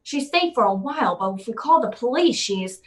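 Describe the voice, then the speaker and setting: A woman's voice: on the high side, animated, moderate pace, natural voice. A woman playing a tough, wisecracking, unflappable private detective in an old-time radio drama, with clipped, slangy delivery. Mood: grave.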